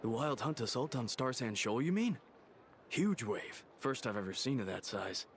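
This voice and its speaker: A man's voice: mid-range voice, expressive, moderate pace, slight background noise, natural voice. A man playing a nervous, grumbling merchant in a video game.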